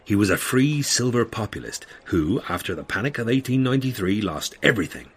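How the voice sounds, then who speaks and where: A man's voice: fairly low pitch, very animated, fairly quick, breathy edge. An actor playing a salty, illiterate but secretly shy longshoreman who talks in blustery old-fashioned sailor speech in a comic audio drama.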